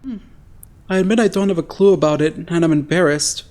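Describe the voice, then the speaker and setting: A woman's voice: on the low side, a little fast, a little mellow, lightly acted. A woman voicing an earnest, naive, friendly country teenage boy in an anime fandub, frank and polite. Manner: frank, literal-minded, polite. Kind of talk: anime-style fandub voice acting.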